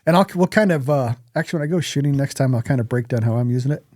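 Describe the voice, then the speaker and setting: A man with a mellow, relaxed voice of medium pitch co-hosts a casual podcast. He is informal, joking and opinionated. The recording is dry, with hardly any room sound. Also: very fast, dry recording. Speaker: podcast co-host. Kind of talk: casual chat about hunting gear.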